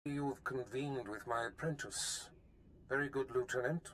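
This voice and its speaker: An actor playing a commanding, scheming dark lord in a video game, measured and conspiratorial. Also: male voice, medium pitch, steady tone, slight background noise, lightly acted. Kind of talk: cinematic video game voice acting.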